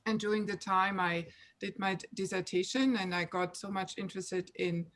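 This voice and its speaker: A woman's voice: medium pitch, fairly even intonation, normal speed, fairly bright tone. A woman from Germany, introducing her scientific background on an online panel over a video call.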